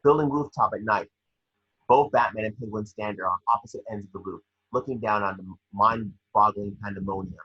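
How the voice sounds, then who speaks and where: A man with a husky voice reading scene descriptions at a table read, sometimes stumbling over the script. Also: fairly low pitch, fairly quick, lo-fi sound.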